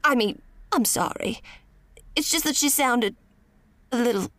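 A woman playing a gentle, consoling member of a support group in an audio drama. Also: on the high side, measured pace, no echo.

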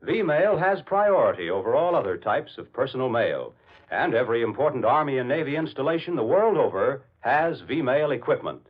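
A male 1940s radio announcer with a husky, mid-range voice. He delivers scripted lines formally and emphatically with wide, lively intonation. The old recording sounds dull. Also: medium pitch, moderate pace.

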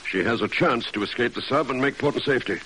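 Guy doing stern urgent navy base commander, 40s radio acting, sharp and clipped, hissy old recording. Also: medium pitch, fast, lightly acted, poor audio. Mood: urgent, grave. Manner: clipped, giving orders.

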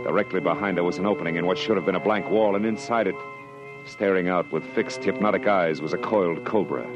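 An actor playing a tough, sardonic private detective in clipped, slangy 1940s radio style. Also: male voice, deep voice, quick pace, lightly acted. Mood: tense narrating. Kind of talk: 1940s radio acting, first-person hard-boiled narration. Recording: dull old radio recording with music.

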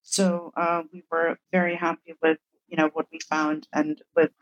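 A woman from Germany, whose first language is German, answering as a book co-author in a measured way, with frequent um fillers. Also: lowish voice, little pitch movement, dry recording, dull audio. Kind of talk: podcast interview answers.

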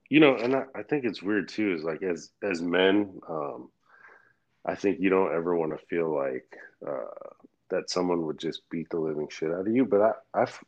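Man, very low voice, candid loose talk, muffled recording. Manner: rambling, frank, swears freely, laughs often. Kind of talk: casual chat. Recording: dull lo-fi audio.

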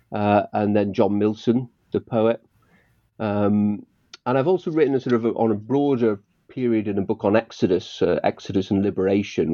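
Thoughtful low-voiced historian man. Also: warm tone, no echo. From Northern Ireland, raised in northern England. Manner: thoughtful, many ums and restarts. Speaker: guest historian. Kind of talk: academic interview. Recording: dull lo-fi sound.

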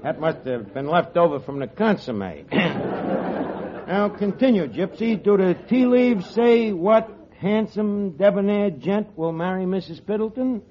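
An actor playing a wisecracking, scheming tavern manager who talks ungrammatically, in a 1940s radio comedy. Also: male voice, high-pitched, animated, measured pace, crowd noise. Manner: wisecracking, fake sincerity, ungrammatical. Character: fast-talking scheming tavern manager, middle-aged man. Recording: dull old radio broadcast, faint audience laughter.

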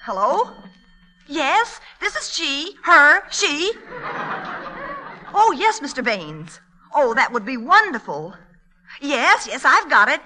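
An actress playing a quick-witted, struggling young writer with a dry, sardonic tongue in a vintage radio comedy. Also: female voice, high-pitched, very animated, sharp tone, exaggerated voice. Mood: eager. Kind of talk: 1940s radio comedy acting. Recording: vintage radio broadcast recording.

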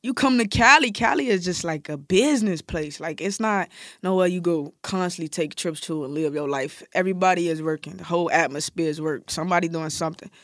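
A man's voice: fairly high pitch, brisk, a bit bright, dry recording, studio quality. A man with a Chicago accent, a guest in a casual interview, telling stories in loose, candid slang with plenty of swearing. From Chicago, United States. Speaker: interview guest, music artist. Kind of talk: casual storytelling chat.

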